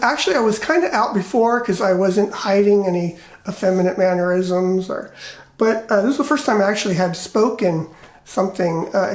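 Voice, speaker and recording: A middle-aged American man with a light, effeminate voice, telling personal stories casually in a rambling, animated way and acting out quoted dialogue. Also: sits high. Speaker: retired teacher. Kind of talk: casual personal storytelling and commentary. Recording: roomy, echoey indoor sound.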